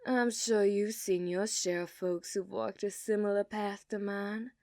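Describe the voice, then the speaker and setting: A woman voicing an obsessive, dominant outlaw cowgirl in a put-on Southern accent, for an ASMR roleplay, teasing and dropping into soft whispers. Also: medium pitch, fairly even intonation, a little slow, dry recording, studio quality, lightly acted. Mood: menacing. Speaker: ASMR performer.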